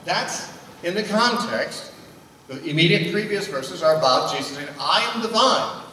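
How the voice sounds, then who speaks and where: A man's voice: high-pitched, expressive, normal speed, pushed and bright, roomy sound, in a large hall, far from the mic, a little hiss or hum, low-quality recording. An older man preaching a sermon into a handheld mic, asking questions and answering them himself. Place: indoor meeting room.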